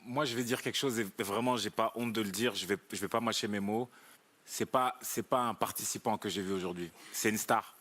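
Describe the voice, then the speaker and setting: A man's voice: on the low side, a little fast, a bit bright. A man coaching on a talent show, giving emphatic praise and repeating his point for effect.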